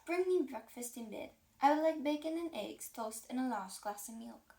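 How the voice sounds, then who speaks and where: A woman's voice: high voice, lively intonation, moderate pace, creaky, warm tone, echoey room. A woman voicing a haughty, fussy male dog who makes imperious demands in a children's storybook. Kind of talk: read-aloud children's storybook.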